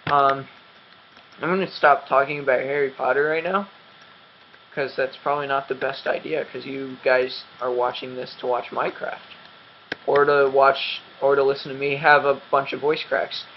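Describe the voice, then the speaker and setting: A man's voice: medium pitch, flat delivery, moderate pace, dull audio, a little hiss or hum. A young man hosting a game commentary, rambling and self-mocking with lots of ums, his voice dry and cracking. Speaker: gaming channel host.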